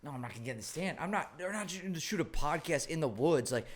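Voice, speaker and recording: A man's voice: a little high, fast, over a noisy crowd. A young man hosting a podcast, chatting casually with jokes, bursts of energy and casual swearing. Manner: joking, animated, swears casually. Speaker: podcast host.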